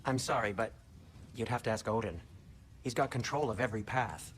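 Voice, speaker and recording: A man's voice: mid-range voice, a little fast, slight background noise, close to an everyday voice. An actor playing a fussy, anxious craftsman in a video game, wry and wordy with polite sarcasm. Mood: snippy, apologetic. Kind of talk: animated video game acting.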